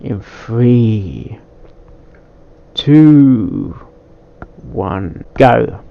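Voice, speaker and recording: A man hosting a reaction video with casual commentary, given in halting, musing asides. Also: mid-range voice, expressive, gravelly, lo-fi sound. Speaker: host of a fanfic reaction video.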